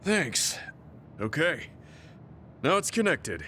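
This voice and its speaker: An actor playing a steady, protective, experienced soldier in an anime game, measured and modest. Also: male voice, on the high side, a little hiss or hum, full character voice. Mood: steady, concerned.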